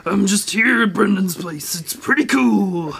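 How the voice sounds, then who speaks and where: A man voicing a goofy, halting security guard who tells jokes, in a gravelly cartoonish voice. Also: sits high, fairly bright tone, full character voice.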